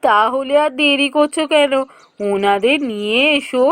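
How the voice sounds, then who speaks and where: A woman voicing a calm, agreeable middle-aged village mother in a cartoon fairy tale, speaking in a slow, measured way. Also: sits high, no room sound, pitched below the performer's own voice. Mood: pleased, agreeable.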